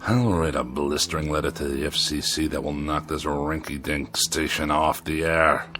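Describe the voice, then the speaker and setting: An actor playing a haughty, indignant middle-aged misanthrope with a sarcastic, biting manner in a radio drama. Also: male voice, rumbling low, steady tone, normal speed, bright, forceful tone. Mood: scornful. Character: pompous, irascible middle-aged misanthrope writer.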